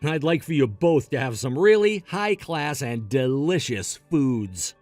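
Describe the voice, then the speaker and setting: A man's voice: medium pitch, animated, a little slow, a little mellow, no room sound, soft background music, natural voice. A man playing an earnest, sentimental family friend in a melodramatic audio story, speaking with heartfelt sincerity. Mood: earnest, hopeful.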